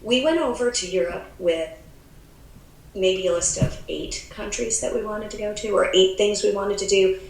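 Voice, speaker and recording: A woman's voice: mid-range voice, moderate pace, vocal fry. An American woman working as a travel advisor, chatting casually on a podcast, laughing often and restarting her sentences. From United States. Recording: echoey room with faint background noise.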